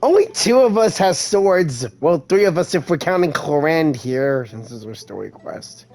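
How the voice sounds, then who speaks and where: A woman's voice: low-pitched, expressive, moderate pace, darkish tone, soft background music. A woman giving casual, playful commentary as she plays a game. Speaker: gaming video host. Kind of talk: casual gameplay commentary, reading lines aloud.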